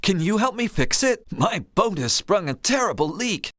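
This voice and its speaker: Video game angler, high voice, lively intonation, polite, pleading. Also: male voice, moderate pace, no room sound, clean studio sound. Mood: troubled, pleading. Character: polite, troubled male angler with broken boat. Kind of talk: video game character acting.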